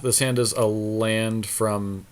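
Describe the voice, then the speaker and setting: A man with a fairly low voice commentates casually while playing a card game. He deliberates out loud in an understated, matter-of-fact manner. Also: flat delivery.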